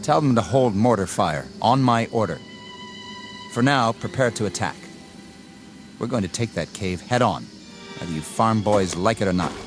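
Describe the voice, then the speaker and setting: Man acting an arrogant, low-voiced army lieutenant. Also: lively intonation, normal speed, long pauses, close to an everyday voice. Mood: curt, commanding. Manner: commanding, scornful, clipped. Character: arrogant, zealous young army lieutenant eager to kill. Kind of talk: radio drama acting. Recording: radio drama with music underneath.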